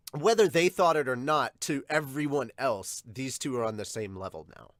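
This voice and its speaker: A man hosting a casual manga reaction, talking enthusiastically in a halting, digressive way. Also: medium pitch, a bit bright, dry recording, clean studio sound. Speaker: podcast host.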